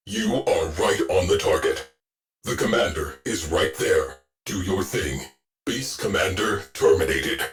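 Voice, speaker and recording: A man playing a gruff, sardonic shipboard AI officer in a video game, giving clipped, deadpan military orders. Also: low-pitched. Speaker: ship's AI crew officer. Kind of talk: scripted video game voice lines.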